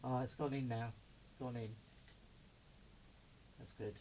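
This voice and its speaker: A man's voice: mid-range voice, steady tone, a little slow, mellow. A middle-aged man chatting casually and muttering to himself as he does a hands-on unboxing. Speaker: hobbyist presenter unboxing a CB radio. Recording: clear background noise.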